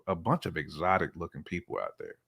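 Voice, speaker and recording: A man's voice: low-pitched, very animated, fast, gravelly, a bit bright, husky. A man from the United States talking casually on a discussion show, with informal slang and frequent checks for agreement. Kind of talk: casual chat.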